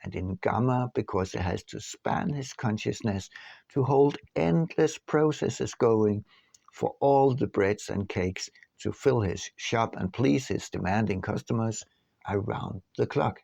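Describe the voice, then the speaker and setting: A man narrating an audiobook from a script in a measured, deliberate way with emphatic phrasing. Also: lowish voice, gravelly, darkish tone, no echo. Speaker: author reading his own book.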